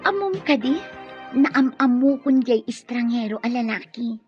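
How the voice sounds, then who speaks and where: A woman's voice: a little high, animated, unhurried. A woman with an Ilocano accent playing a friendly, trusting young student in a radio drama. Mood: eager. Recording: dull radio drama sound, music behind.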